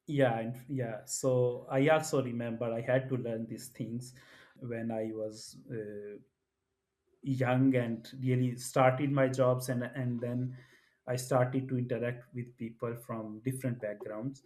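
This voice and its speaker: A man's voice: medium pitch, little pitch movement, slow pace, darkish tone. A man from Pakistan co-hosting a business culture talk, speaking thoughtfully with many restarts. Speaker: co-host. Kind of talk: conversational podcast discussion.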